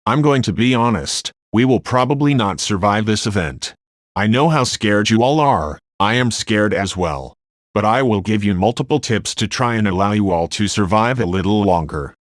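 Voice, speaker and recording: A man's voice: on the low side, lively intonation, moderate pace, no echo, clean studio sound, lightly acted. A man playing a sombre weather service expert, giving plain, grave survival instructions in a scripted radio drama. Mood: grave, instructive. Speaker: radio show guest expert.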